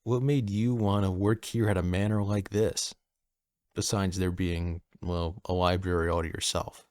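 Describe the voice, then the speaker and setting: A man playing a friendly, easygoing personal assistant at a manor in an audio roleplay, soft-spoken, casual and a little hesitant. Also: low voice, steady tone, a little slow, no room sound, studio quality. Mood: curious.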